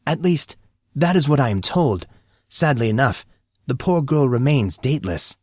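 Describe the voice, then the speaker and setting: A man's voice: medium pitch, very animated, a little mellow, breathy edge, no echo, clean studio sound, voice pushed up. Man voicing a formal, amused school staffer. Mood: amused, explaining. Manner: formal, measured, condescending. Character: polite, amused, formal older male school employee.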